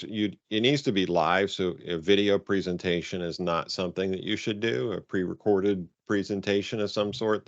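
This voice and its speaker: A man with a very deep voice gives informal guidance to coaches. He speaks haltingly and rephrases for emphasis. The sound is dull, like a conference call, and quite dry. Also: dry recording. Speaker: robotics coaching advisor leading a conference call.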